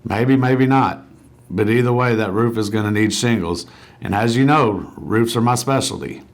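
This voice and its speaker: A man's voice: fairly low pitch, moderate pace, close to an everyday voice. A man playing an easygoing, civic-minded small-town roofer in a cartoon, agreeable and earnest. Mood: game, easygoing. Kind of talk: animated comedy dialogue.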